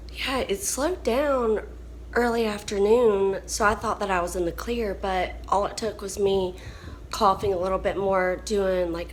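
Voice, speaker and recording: A young woman vlogging casually, hoarse and coughing, weary and frustrated. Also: mid-range voice, slight background noise, rough recording. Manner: tired, frustrated, drawn-out speech. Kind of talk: casual vlog chat.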